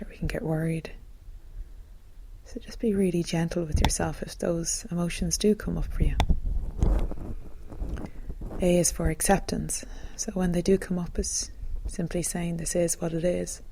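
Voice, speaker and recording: A woman leading a guided meditation in a gentle, calm, soothing manner, as a teacher would. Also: fairly low pitch, moderate pace, creaky, a little hiss or hum, poor audio.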